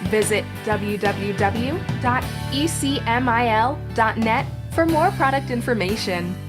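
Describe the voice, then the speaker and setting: A woman reading a commercial radio ad as a brisk sales pitch. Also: lively intonation. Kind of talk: commercial ad read. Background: loud music.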